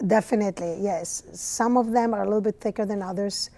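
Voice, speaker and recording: A woman's voice: medium pitch, brisk, mellow. A woman from Italy with an Italian accent, a language teacher chatting as an interview guest, with mm-hmms as she tells stories. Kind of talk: casual interview conversation. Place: cable TV studio.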